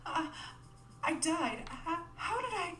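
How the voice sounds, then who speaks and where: A woman's voice: very high-pitched, very animated, slow, slight background noise, exaggerated voice. A woman playing a bewildered, newly dead woman in a video game, hesitant and questioning. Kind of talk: scripted video game dialogue. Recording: roomy voice under loud music.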